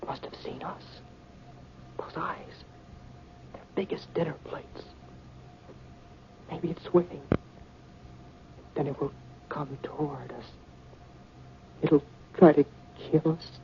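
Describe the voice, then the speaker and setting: An actor playing a fearful young man, halting and urgent, in 1950s radio drama style. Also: a little high, fairly even intonation, creaky, in a whisper. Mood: terrified hush. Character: frightened young male companion, desperate and fearful. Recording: muffled, noisy 1950s radio recording.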